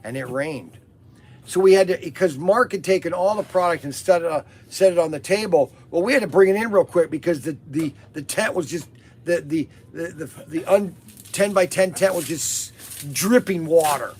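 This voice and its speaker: A man hosting a casual live podcast, hoarse from losing his voice, rambling through a story with many fillers and restarts. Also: on the high side, normal speed, a little mellow. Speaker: host of a toy business live podcast.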